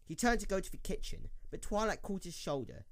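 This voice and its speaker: A man's voice: high voice, huge pitch swings, a little fast. A man narrating a scripted dramatic story as the narrator of an audio drama.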